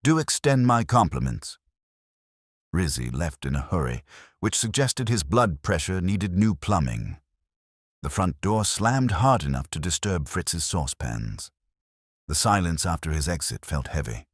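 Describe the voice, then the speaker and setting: A man's voice: sits low, sing-song, unhurried, breathy edge, no room sound, studio quality. A man narrating a first-person detective story in a wry, hard-boiled, scripted manner. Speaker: audiobook narrator.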